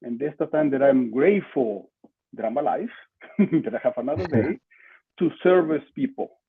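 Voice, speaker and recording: A man's voice: medium pitch, moderate pace, breathy edge. An older man from Peru with a Peruvian Spanish accent, talking conversationally as an interview guest with emphatic repetition, over a video call. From Lima, Peru. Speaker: podcast guest, marketing entrepreneur. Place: small room at home, indoors. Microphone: video call, computer mic at desk distance. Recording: muffled, lo-fi video call audio.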